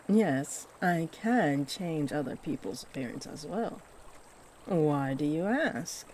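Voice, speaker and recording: A woman voicing a chatty, kindly shapeshifting fairy, nearly human-sized, gentle and expressive, in a scripted roleplay spoken to the listener. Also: low voice, a little hiss or hum. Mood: gentle, encouraging.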